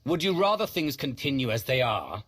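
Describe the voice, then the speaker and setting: A stern dark-side commander in a sci-fi game. His voice is extremely husky and rough, giving terse orders with a widely moving pitch. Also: very animated, normal speed. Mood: curt. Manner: terse, authoritative. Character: stern, commanding male Sith sorcerer leader. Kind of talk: video game cutscene acting.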